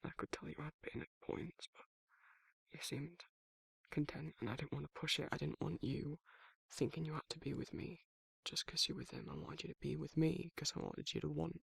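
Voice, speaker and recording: Man voicing a husky, gravelly, protective werewolf lover. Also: a little high, moderate pace, no room sound, heavily acted. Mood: soft, earnest. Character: protective male werewolf, tender, teasing, shy lover. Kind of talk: intimate ASMR roleplay.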